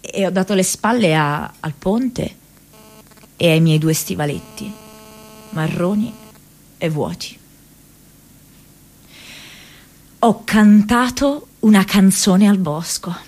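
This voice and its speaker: A woman's voice: medium pitch, lively intonation, slow pace, a little mellow, rough recording. An actress playing a restless, lovelorn and self-mocking young woman in a theatrical, emotional radio monologue. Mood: quiet, reflective. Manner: theatrical, emotional, with repeated phrases.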